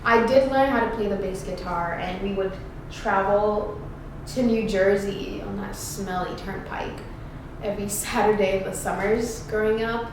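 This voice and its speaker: A young woman from Ghana answers questions in a loose, playful ramble, full of fillers and little laughs. She sounds set back from the microphone, and the room rings with strong echo. A steady background noise runs under her voice. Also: mid-range voice, a little slow. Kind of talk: casual get-to-know-me chat. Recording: echoey room, distant mic, background noise.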